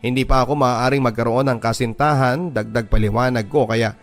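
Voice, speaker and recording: A Filipino man reads a personal story in the style of a radio drama. His voice is medium in pitch, and music plays behind his scripted narration. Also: fairly even intonation, brisk. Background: background music.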